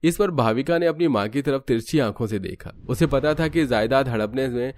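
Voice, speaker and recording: A man's voice: medium pitch, moderate pace, a little mellow. A man reading scripted narration for a cartoon family story.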